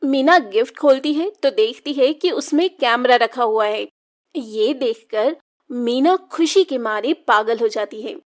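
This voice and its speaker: A woman's voice: on the high side, a little slow. A woman narrating a scripted children's story as the storyteller.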